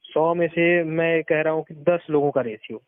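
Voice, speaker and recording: A man's voice: on the high side, normal speed, no echo. A man giving casual, emphatic advice on a phone call, explaining and repeating his points for stress. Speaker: experienced former network marketer giving advice. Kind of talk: casual advisory phone conversation. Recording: muffled narrowband phone call recording.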